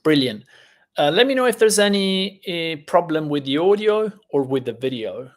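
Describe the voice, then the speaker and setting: A man who is a native Italian speaker, hosting a casual live-stream lesson, talking in a chatty, halting way with many fillers. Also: fairly high pitch, big pitch swings.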